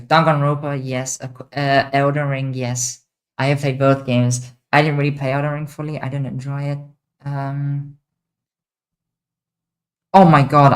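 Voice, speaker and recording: A young woman with a mixed international accent, answering chat questions on a casual livestream, blunt and quick to swear. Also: very deep voice. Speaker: streamer.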